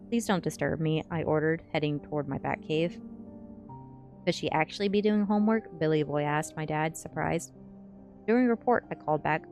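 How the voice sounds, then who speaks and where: Low-pitched woman with creaky voice, ASMR reading. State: sleepy. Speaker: streamer reading books aloud. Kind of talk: ASMR book reading and casual chat with viewers. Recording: music playing behind the voice. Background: music.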